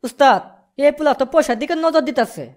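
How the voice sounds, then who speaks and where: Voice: high-pitched, lively intonation, quick pace. A performer voicing a whiny male fake beggar in a cartoon, pleading and complaining, with a Bangladeshi accent.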